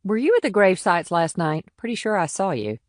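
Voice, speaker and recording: A no-nonsense female small-town cop, played by a woman, gives guarded, blunt answers in a lowish voice. It is audiobook dialogue with a dry, close sound. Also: sing-song, a little fast, dry recording.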